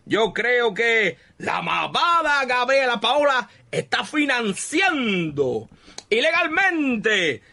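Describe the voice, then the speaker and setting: A man's voice: extremely high pitch, pushed and bright, full character voice. A man playing a flamboyant, greedy secret agent in a parody radio soap, theatrical and cackling. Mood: gloating, dramatic.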